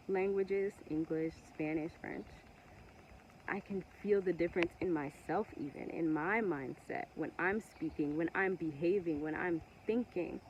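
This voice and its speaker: Earnest woman, low voice, lo-fi sound. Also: a little mellow, slight background noise. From Togo. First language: Ewe. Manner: earnest, passionate, with many pauses and restarts. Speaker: vlogger. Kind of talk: casual monologue to camera.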